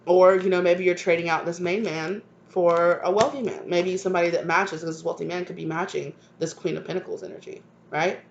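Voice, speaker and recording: A woman's voice: lowish voice, steady tone, brisk, gravelly, few pauses. Woman tarot reader, congested, affectionate chatty delivery, dull lo-fi recording with light hiss. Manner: affectionate, repeats "right?" and "come on now". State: stuffed up from a cold. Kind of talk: channeled tarot reading to viewers.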